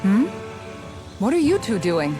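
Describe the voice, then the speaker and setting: Motherly female knight for a fantasy game, practical, admonishing, expressive mid-range voice. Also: mid-range voice, measured pace. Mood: gently firm. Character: practical, motherly young woman knight, gently scolding. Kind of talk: English video game cutscene acting. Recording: game music behind the voice.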